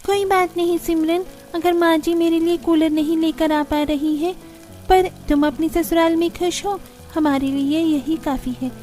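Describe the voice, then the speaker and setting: A woman's voice: very high-pitched, steady tone, measured pace, dark tone, soft background music. A woman voicing an obliging young female relative in a family cartoon. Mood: patient, accepting.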